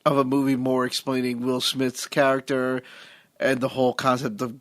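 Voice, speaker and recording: A man's voice: mid-range voice, flat delivery, normal speed, a bit bright, dry recording, studio quality. A man co-hosting a casual movie podcast, agreeable and musing. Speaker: podcast co-host.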